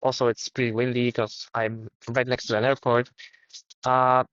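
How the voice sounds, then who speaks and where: A man's voice: mid-range voice, little pitch movement, normal speed, fairly bright tone, clear, resonant tone, no echo, highs cut off. A man talks casually and hesitantly in an unscripted microphone test, his voice heavily processed by noise-cancelling earbud microphones. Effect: heavy noise-cancelling processing. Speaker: tech reviewer. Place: outdoors by a busy street.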